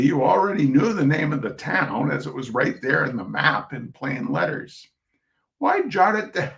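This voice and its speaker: A man's voice: a little high, gravelly. A man giving an informal reading of humorous essays, with a droll, chatty manner and asides to his listeners. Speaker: reader of short humorous pieces.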